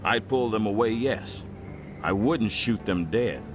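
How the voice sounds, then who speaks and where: An actor playing an eager, polished, stubbornly nationalist politician in a video game, speaking in an oratorical, politely insistent way. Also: male voice, fairly low pitch, a little slow, lightly acted. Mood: assured, argumentative. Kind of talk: video game dramatic dialogue. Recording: music behind the voice.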